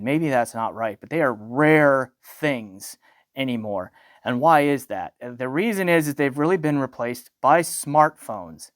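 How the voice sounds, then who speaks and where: A man's voice: on the high side, no room sound, clean studio sound. A middle-aged man giving an unscripted product review in a conversational way, thinking aloud and posing questions to himself. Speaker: audio gear reviewer.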